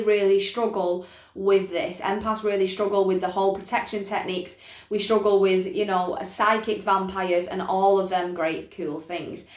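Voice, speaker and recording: A woman talks informally in a roomy, echoing space, like a spiritual teacher addressing her followers. Her pitch sits in the middle for a woman. She is emphatic, circles back over each point and keeps checking that listeners are with her. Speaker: spiritual teacher presenting a self-help video. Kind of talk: informal explanatory talk to camera. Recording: echoey, roomy sound.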